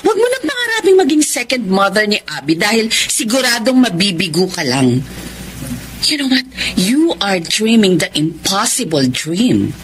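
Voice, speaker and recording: A woman's voice: medium pitch, very animated, moderate pace, a little hiss or hum, lightly acted. A woman playing a haughty, overprotective older sister and employer in a radio drama, with a condescending manner. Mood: scornful, accusing. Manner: condescending, mixes English into Tagalog.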